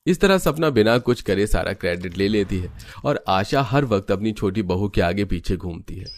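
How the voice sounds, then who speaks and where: A man's voice: lowish voice, expressive, moderate pace. A man reading scripted narration for a cartoon family story.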